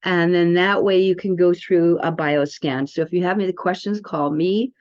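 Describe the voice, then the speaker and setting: A woman's voice: fairly low pitch, steady tone, normal speed. Chatty woman, dull lo-fi audio. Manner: chatty, rambling, addressing viewers directly. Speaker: product demonstrator and alternative health promoter. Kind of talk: informal product demo.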